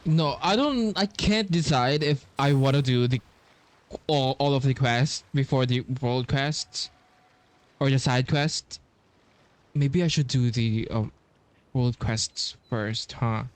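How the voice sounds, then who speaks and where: Slow, hesitant man playing games. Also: medium pitch. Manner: hesitant, musing aloud. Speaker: game streamer. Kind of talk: casual playthrough commentary. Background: faint game music.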